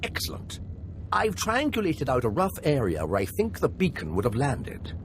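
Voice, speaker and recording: A male actor playing an enthusiastic, scholarly military lieutenant in a video game, chatty, expressive and polite. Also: medium pitch, moderate pace, a little hiss or hum. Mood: eager, musing.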